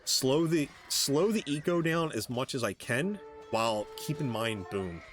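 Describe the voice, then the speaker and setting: A man giving casual, halting commentary on his own strategy game match, thinking aloud as he plays. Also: medium pitch, expressive. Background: background music.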